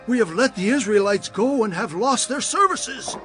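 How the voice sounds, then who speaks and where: A man's voice: very high voice, expressive, normal speed, a bit bright, soft background music. A man playing an alarmed, dismayed ancient Egyptian, exclaiming with agitation in a dramatized scripture audio drama.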